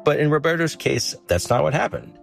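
A man reporting a story on a news podcast, explaining it in a measured, storytelling way. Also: a little high, big pitch swings, gravelly, soft background music.

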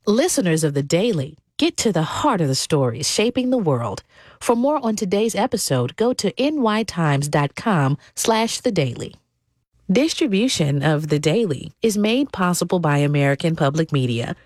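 A woman's voice: on the low side, big pitch swings, normal speed, a little mellow, no echo, clean studio sound. A woman announcer reading sponsor messages in an upbeat promotional style. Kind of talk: sponsor read.

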